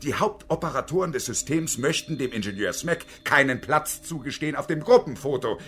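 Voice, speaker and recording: A man's voice: mid-range voice, animated, a little fast, fairly bright tone, natural voice. A man acting in a radio drama as a bitter, defiant unemployed engineer in his fifties, with a pompous, sarcastic, declamatory way of talking. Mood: mocking, grandiose. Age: 50s.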